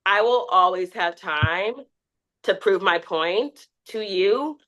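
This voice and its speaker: Woman, twenties, podcast guest, candid, animated storytelling, medium pitch. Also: slow pace, rough recording. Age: 20s. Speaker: podcast guest, TikTok creator. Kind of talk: casual interview chat.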